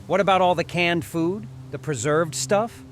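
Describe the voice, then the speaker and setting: Man playing a high-voiced, curt army sergeant. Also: moderate pace, slight background noise, natural voice. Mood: guarded. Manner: commanding, curt. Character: gruff, wary army sergeant leading a survivor convoy. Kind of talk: audio comic dramatisation.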